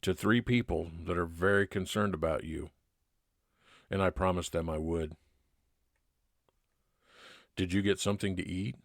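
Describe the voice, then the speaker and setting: A man playing a kindly, laconic 1950s private detective, narrating his case in old-time radio drama style with measured, plain-spoken delivery. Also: deep voice, steady tone, gravelly, dry recording, clean studio sound. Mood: matter-of-fact. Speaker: narrator and lead actor.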